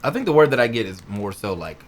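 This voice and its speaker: A man's voice: medium pitch, sing-song, fast talker, a little hiss or hum. A man co-hosting a podcast, chatting casually and emphatically in slangy speech, often repeating his phrases. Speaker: podcast co-host. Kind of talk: casual chat.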